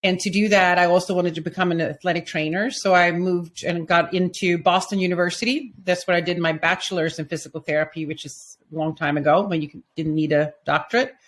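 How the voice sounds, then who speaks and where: A middle-aged woman from Sweden, a physical therapy professor, answering interview questions in a measured, explanatory way. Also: on the low side, fairly even intonation, a little hiss or hum.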